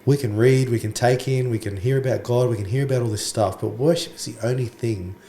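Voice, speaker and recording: A man who has lost his voice and sounds croaky, chatting casually as a guest worship pastor, calling people mate and bro. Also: mid-range voice, a little fast, mellow. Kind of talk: casual podcast conversation. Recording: echoey room, faint background noise.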